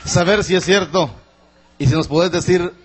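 Polite event host. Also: male voice, high voice, normal speed, soft background music. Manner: polite, formal. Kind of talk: stage interview. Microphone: shared handheld mic.

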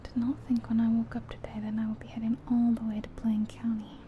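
Casting: a woman as a friendly female cab driver for ASMR roleplay, high but very mellow, breathy near-whisper, faint ambient noise behind. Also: completely flat delivery, quick pace, lo-fi sound, lightly acted. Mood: tired muttering. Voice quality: soft, breathy, often whispered. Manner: gentle, hesitant, murmuring to herself and passenger. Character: friendly, chatty, sleepy-soft female city taxi driver. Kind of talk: soft-spoken ASMR roleplay. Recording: soft ambient car and rain noise.

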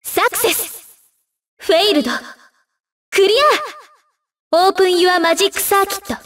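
A young female anime fighter calling out matches in a video game. Her voice is very high, with a Japanese accent. She delivers short, emphatic announcements, sounding set back from the mic. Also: expressive, moderate pace, fairly bright tone, recorded from a distance. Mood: clear, spirited.

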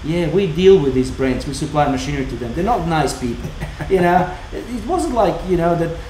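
Heavily accented former Yugoslav man, mellow. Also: fairly high pitch, fairly quick. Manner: animated storyteller, heavy accent. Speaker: watchmaker and co-host. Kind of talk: casual livestream chat. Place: indoor watchmaking workshop. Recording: roomy workshop with faint hum.

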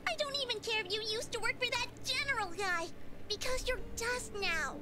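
A woman voicing a small excitable female creature sidekick in a game, with a squeaky emotional delivery. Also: normal speed, noisy background. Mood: pleading. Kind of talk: game cutscene dialogue.

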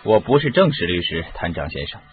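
A man's voice: low voice, very animated, a little fast, soft background music. An actor playing a confident, persuasive male sleuth in a radio drama. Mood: assured. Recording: muffled phone-like radio recording.

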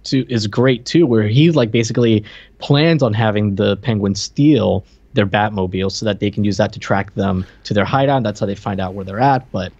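A man's voice: on the low side, moderate pace, darkish tone, no room sound. A man co-hosting a casual, conversational movie discussion over a video call. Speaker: podcast co-host. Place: indoor room, on a video call. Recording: dull, dry video-call audio.

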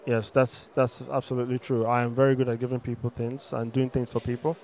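A man speaks as a congregant giving his testimony. His pitch is average and stays very flat. He hesitates and searches for words, with faint open-air ambience behind him. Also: quick pace, a little hiss or hum. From Enugu, Nigeria. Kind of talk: religious testimony, answering questions. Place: open arena. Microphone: handheld microphone held for him.

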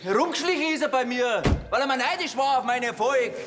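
A man's voice: very high voice, animated, fast talker, over music. A man playing an indignant pig farmer with a Bavarian accent, grumbling and defensive.

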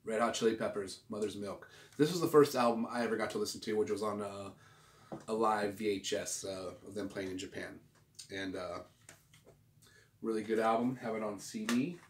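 A man with a fairly low voice talks in a relaxed, slangy, show-and-tell vlog style. He rambles casually as he goes. The sound is quite roomy, with noticeable noise behind him. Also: moderate pace. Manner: laid-back, rambling, slangy. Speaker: host of a record collecting video channel. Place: small home room, indoors. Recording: roomy, distant mic with clear background noise.

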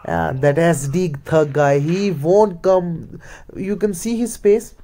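Male gamer, Indian accent, Hindi and Punjabi native. Relaxed, dark-toned, slightly high voice, casual running commentary. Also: slow. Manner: mutters play-by-play, slips into Hindi. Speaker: gaming video creator.

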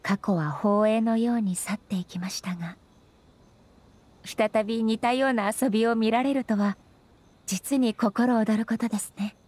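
A woman voicing a dignified, gentle female ruler in a Japanese anime game, speaking politely and formally with measured composure. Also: a little high, steady tone, slight background noise, lightly acted. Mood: serene, nostalgic.

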